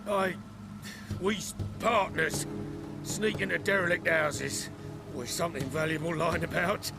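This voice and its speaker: An actor playing a nervous, cowardly thief who stammers and speaks in a jittery way in a fantasy video game. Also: male voice, a little high, fairly even intonation, measured pace, creaky, music in the background. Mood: frightened.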